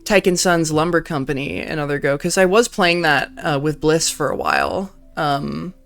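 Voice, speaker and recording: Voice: mid-range voice, expressive, moderate pace, vocal fry, fairly bright tone. A streamer wrapping up a live game session in casual chat, talking freely with lots of "like" and swearing.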